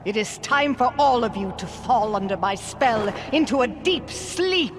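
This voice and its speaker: An actress playing a cold, menacing evil sorceress in a game cutscene, speaking in a stately, pompous, theatrical way. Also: female voice, on the high side, expressive, unhurried, noisy background. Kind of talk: animated game cutscene acting. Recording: loud music behind the voice.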